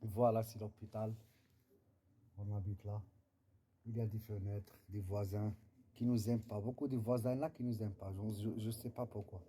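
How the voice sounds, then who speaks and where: A man chatting casually in a non-native language, halting and searching for words. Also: on the low side, steady tone, dark tone, husky. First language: not French. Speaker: vlogger. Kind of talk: casual chat.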